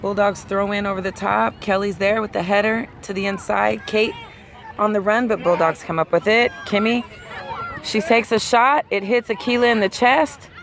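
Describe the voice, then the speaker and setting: A woman doing play-by-play of a youth soccer game outdoors, hoarse and losing her voice. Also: medium pitch. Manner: rapid play-by-play, rising excitedly at key plays. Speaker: amateur sideline commentator. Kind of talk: play-by-play commentary. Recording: outdoor crowd and traffic noise.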